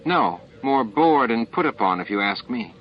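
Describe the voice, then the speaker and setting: A man's voice: mid-range voice, lively intonation, moderate pace, natural voice. Man reading as a blunt female officer, muffled. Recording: muffled, band-limited tape audio.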